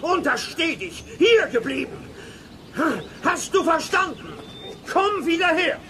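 A man's voice: very high-pitched, expressive, takes it slowly, a bit bright, exaggerated voice. An actor playing a cranky, threatening man of a spooky house in a children's radio play, stern and bossy. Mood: angry, threatening.

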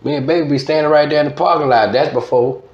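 A man's voice: on the high side, quick pace. A middle-aged man with a Louisiana accent chatting casually as an interview guest, slangy and repeating his words, in a dull, roomy studio recording. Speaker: guest, veteran radio DJ.

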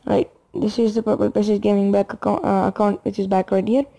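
A young woman giving a casual phone tutorial in a hushed, low-key late-night voice. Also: fairly even intonation, a little fast, creaky. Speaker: gaming channel host.

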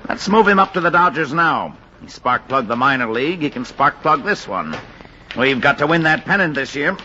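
An actor playing a decisive baseball club chief in a 1940s radio drama, emphatic, clipped and commanding. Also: male voice, fairly high pitch, animated, moderate pace, pushed and bright. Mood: determined. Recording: muffled 1940s radio recording, faint hiss.

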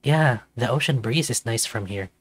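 A man voicing a gentle, laid-back big male animal character in a visual novel, relaxed and casual. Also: medium pitch, normal speed, breathy edge, no room sound, lightly acted.

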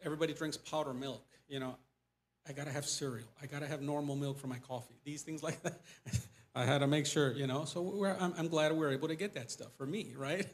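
A man in his forties with a Mexican accent speaks as a visiting missionary pastor in a church sanctuary, picked up by a lapel mic. His voice sits somewhat high for a man. He talks in an animated, casual way, climbing in pitch and volume when he stresses a point. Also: fairly quick. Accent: Mexican Spanish. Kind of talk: casual church presentation with questions. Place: church sanctuary before a pulpit.

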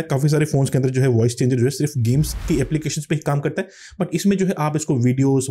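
Fast-talking man, slightly husky. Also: medium pitch, warm tone. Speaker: tech channel host. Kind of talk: phone tutorial commentary.

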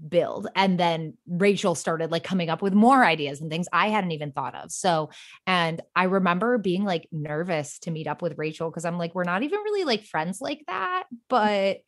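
A woman's voice: fairly low pitch, expressive, moderate pace. A young woman guest on a podcast, chatting casually in an effusive, slangy way with lots of "like". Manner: effusive, slangy, peppers talk with "like". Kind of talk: casual chat.

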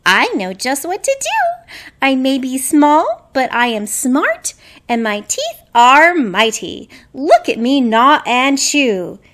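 Little puppet-show mouse played by a woman, very high, squeaky, lively swoops, earnest, helpful. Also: slow, full character voice. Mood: reassuring. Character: tiny, plucky, helpful mouse.

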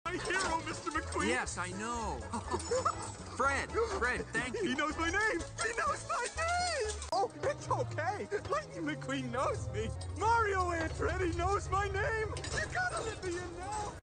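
A man's voice: extremely high pitch, sing-song, measured pace, over loud music, heavily acted. A man voicing a rusty, giddy male fanboy car, breathless and starstruck.

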